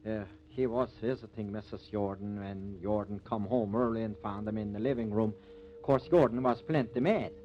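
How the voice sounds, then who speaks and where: A man's voice: lowish voice, normal speed, natural voice. An actor playing a plain-spoken country lawman with ungrammatical folksy speech, in the style of 1950s radio drama. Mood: matter-of-fact. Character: folksy male rural sheriff and gruff, defensive deputy. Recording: muffled, noisy old radio recording.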